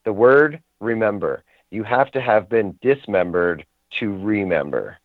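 A man's voice: fairly low pitch, lively intonation, measured pace, no room sound. A man who performs ministry services, musing philosophically as a guest on a radio show. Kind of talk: casual radio talk show chat. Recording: tinny phone-like radio audio, dry.

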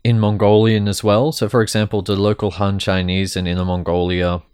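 A man hosting a podcast, giving formal scripted introductions and asking clear questions. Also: fairly low pitch, little pitch movement, brisk, a little mellow, dry recording, clean studio sound. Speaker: podcast host. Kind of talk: podcast interview.

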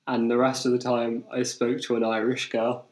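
A man's voice: medium pitch, completely flat delivery, moderate pace. Young adult man, English accent, casual anecdote teller, podcast host, echoey room. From England. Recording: roomy, echoing indoor recording.

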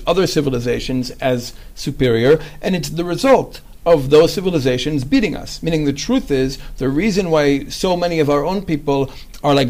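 Give me a man hosting a podcast, somewhat high-pitched, explaining his views earnestly. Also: a little mellow. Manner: earnest, lecturing, with many "like" fillers. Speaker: podcast host. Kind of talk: conversational interview.

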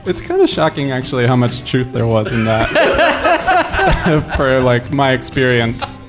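A man's voice: medium pitch, moderate pace, over music. A man talking casually as a guest, telling personal stories in a wry, self-deprecating way. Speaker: guest storyteller.

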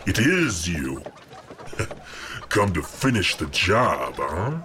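A mocking lord of the underworld, taunts theatrically in an audio drama. The voice is low and slow, lurching dramatically up and down in pitch. It is all menace and scorn. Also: male voice, very animated, background noise, heavily acted.

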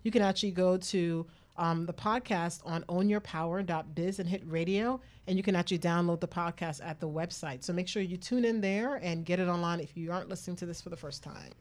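A woman's voice: lowish voice, fairly even intonation, brisk, hardly any pauses. A woman hosting a talk radio show, chatting casually with co-hosts and listeners.

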